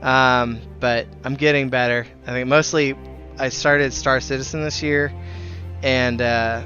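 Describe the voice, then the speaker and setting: Bright-voiced man chatting on a game livestream. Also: mid-range voice, dull audio. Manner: friendly, chatty, addressing viewers by name. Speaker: live streamer. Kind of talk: casual game livestream chat. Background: music.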